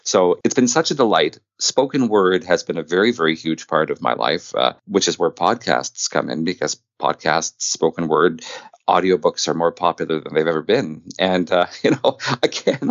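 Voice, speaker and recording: A man's voice: low voice, no echo, lo-fi sound. A middle-aged man telling stories as a podcast guest in an enthusiastic, self-deprecating, conversational way. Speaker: podcast guest, audio drama producer and narrator. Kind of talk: conversational interview storytelling.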